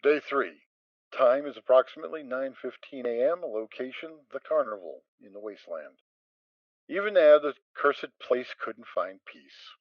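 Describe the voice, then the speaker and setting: A man narrating a dramatic reading of a story, steady storytelling with occasional stumbles. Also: medium pitch, moderate pace, no room sound. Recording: dull lo-fi amateur mic with soft music.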